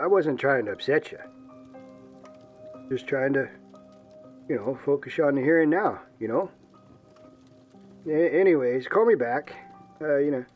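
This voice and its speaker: A man's voice: fairly high pitch, narrow, tinny audio. A man playing a friendly, apologetic police colleague in an audio drama, stammering and repeating himself hesitantly.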